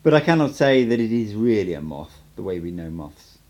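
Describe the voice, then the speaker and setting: Male narrator as patient sorcerer teacher in an audiobook: lowish pitch, animated contours, emphatic, measured, cryptic. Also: muffled recording. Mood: solemn, emphatic.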